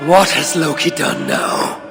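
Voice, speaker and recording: An actor playing a proud, battle-worn Norse high god in a video game cinematic, gravelly, solemn and deliberate. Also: male voice, fairly even intonation, big-room sound, over loud music, lightly acted. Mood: wary, defiant. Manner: solemn, theatrical, deliberate delivery.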